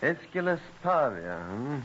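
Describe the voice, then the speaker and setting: A man's voice: fairly high pitch, sing-song, measured pace, a bit bright. An actor playing a skeptical, impetuous statesman with a wry, formal manner in an old radio drama. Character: Alexander Hamilton, brisk skeptical impetuous statesman. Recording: muffled old radio recording with hiss.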